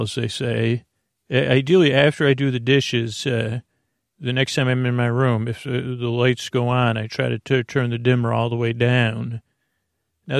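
A man hosting a sleep podcast, giving a meandering, self-mocking and halting improvised intro. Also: medium pitch, gravelly, no room sound, studio quality.

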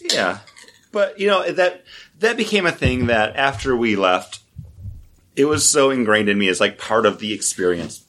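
A man talking as a guest on a casual podcast, relaxed and joking. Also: mid-range voice, normal speed, slight background noise.

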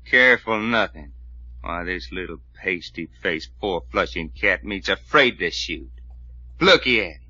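Piercing, folksy brawler. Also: male voice, sits low, animated, measured pace, sharp tone, slightly rough, no echo, exaggerated voice. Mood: taunting. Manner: drawling rustic slang, taunting and cocky. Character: folksy, fearless, brawling good old boy adventurer. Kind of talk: old-time radio drama acting. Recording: muffled vintage radio recording.

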